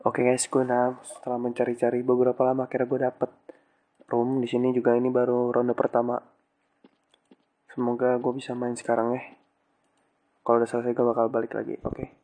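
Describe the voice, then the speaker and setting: Monotone, congested young gamer guy. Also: mid-range voice, fairly quick, long pauses. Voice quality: stuffy, congested nose. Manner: slangy, frequent English exclamations. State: has a cold. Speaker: gaming channel host. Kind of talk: casual game commentary.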